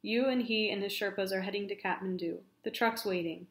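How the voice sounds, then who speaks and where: A woman's voice: mid-range voice, fairly quick, lightly acted. A woman reading the lines of a terse, firm adult male expedition leader from a novel. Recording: roomy echo.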